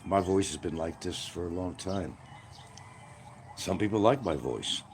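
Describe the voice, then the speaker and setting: A man's voice: low voice, animated, unhurried, slight background noise. A man hosting a rambling livestream outdoors by a field, answering comments in a blunt, combative way. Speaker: livestream host.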